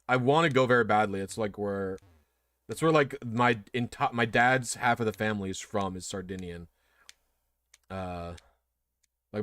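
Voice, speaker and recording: A man's voice: lowish voice, fairly bright tone, clean tone, dry recording. A young man chatting casually on a stream, rambling with many restarts and fillers. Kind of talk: casual chat.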